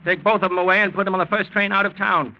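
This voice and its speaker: A man's voice: high-pitched, steady tone. An actor playing a shrewd, commanding rich American grandfather in 1940s radio style. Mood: commanding, proud. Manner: brisk, commanding. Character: shrewd, feisty rich old grandfather, police commission president. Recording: muffled 1940s radio recording.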